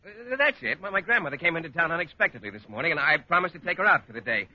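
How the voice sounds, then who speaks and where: A man's voice: on the high side, animated, racing. A man acting a shy, awkward teacher in a 1940s radio comedy, hesitant and stammering. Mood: flustered, apologetic. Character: shy, flustered, awkward adult male science teacher. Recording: dull, faintly noisy 1940s radio recording.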